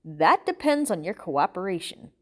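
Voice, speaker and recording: An exasperated bartender played by a woman narrator leans on a captive in a storybook thriller. He is menacing and commanding, with slow, deliberate phrasing. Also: sing-song. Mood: threatening. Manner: low, threatening, slow deliberate delivery.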